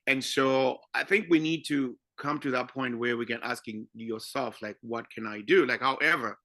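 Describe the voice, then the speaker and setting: A man's voice: medium pitch, moderate pace, pushed and bright, dry recording. A man with a Zimbabwean accent giving his opinions conversationally as a podcast guest.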